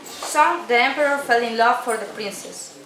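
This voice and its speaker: A woman's voice: on the high side, moderate pace, a bit bright, a little hiss or hum. A young woman reading a folktale narration aloud from a script for a puppet show. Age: young adult. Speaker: student narrator. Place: indoor room at a day care centre. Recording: echoey room, clatter of crowd and dishes.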